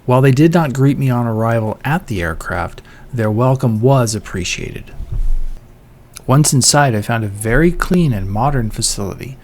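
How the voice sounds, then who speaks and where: A man narrating a vlog in a measured, scripted voice-over, telling things in an orderly way. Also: mid-range voice, expressive, darkish tone, slight background noise.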